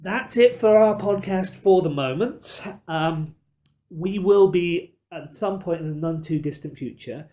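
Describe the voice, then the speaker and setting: A man's voice: high voice, measured pace, dark tone. A man hosting a podcast in a discursive, emphatic way, qualifying his points as he builds an argument about films. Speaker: podcast host. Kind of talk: film discussion podcast chat.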